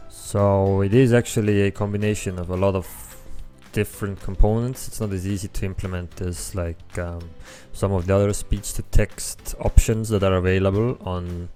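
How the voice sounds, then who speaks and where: A young man with an Estonian accent, his first language Estonian, walks through a coding tutorial in a relaxed way, with frequent ums as he thinks aloud. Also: low-pitched, normal speed. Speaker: app developer tutorial host. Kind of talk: screen-recorded coding tutorial. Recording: faint background music.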